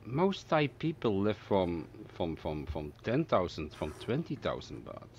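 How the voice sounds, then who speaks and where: A man with a low voice talks casually and tends to repeat short phrases. There is faint background noise behind him. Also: wildly swooping pitch, measured pace. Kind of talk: casual chat. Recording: noisy background ambience.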